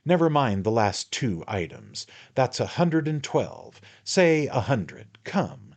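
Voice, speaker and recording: A man's voice: medium pitch, very animated, slow, gravelly, muffled recording, voice pushed up, heavily acted. A man voicing a bustling little male attorney from a storybook, ingratiating and coaxing, forever calling the listener "my dear sir." His voice sits well above his own.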